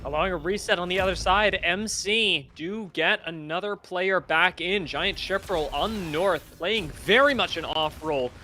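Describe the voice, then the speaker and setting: A male esports caster with a forceful, bright tone and a high voice. He analyses live play in a conversational way. There is faint game noise behind him. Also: normal speed, hardly any pauses. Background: faint video game sounds.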